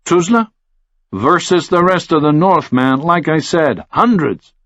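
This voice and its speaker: A man's voice: fairly high pitch, lively intonation, unhurried, fairly bright tone, dry recording, pitched above the performer's own voice. Man voicing easygoing, drawling American journalist, slightly muffled. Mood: frank, eager. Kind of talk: audiobook character dialogue.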